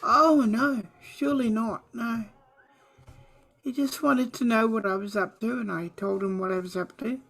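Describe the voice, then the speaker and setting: A woman speaking in character as a player in a tabletop game, with a bright and breezy manner. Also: a little high, fairly even intonation, moderate pace. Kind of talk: in-character roleplay.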